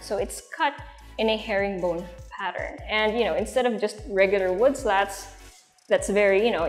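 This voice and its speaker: A young woman with a Filipino accent presenting a house tour in a casual, conversational way, walking through large empty rooms. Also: mid-range voice. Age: young adult. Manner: chatty, conversational. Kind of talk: casual real estate walkthrough presentation. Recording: long reverb of an empty room. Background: music.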